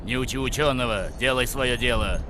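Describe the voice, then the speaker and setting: A curt, commanding gang boss from a cartoon, voiced by a man. He speaks in terse orders at a middling pitch, over loud noise. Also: a little fast, lightly acted. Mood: impatient. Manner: terse, bossy. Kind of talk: cartoon voice acting.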